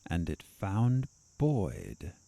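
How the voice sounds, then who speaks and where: A man playing a haughty, vengeful doctor in an audio drama, with a disdainful, theatrical, deliberate delivery. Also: sits low, huge pitch swings, creaky, dark tone, no room sound, heavily acted. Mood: gloating. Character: haughty, vengeful male doctor, a sinister villain.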